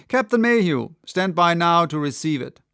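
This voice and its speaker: A man voicing a stern, commanding old sea captain with a gruff, imperious manner and archaic speech in an audiobook. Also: a little high, very animated, moderate pace, fairly bright tone, no echo, clean studio sound.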